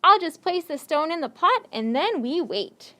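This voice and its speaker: A woman voicing a clever, friendly traveling stranger in a children's puppet show, with theatrical, deliberate phrasing. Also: sits high, big pitch swings, bright, forceful tone, exaggerated voice. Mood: instructive, sly. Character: friendly, tired, hungry wandering stranger puppet, cleverly coaxing.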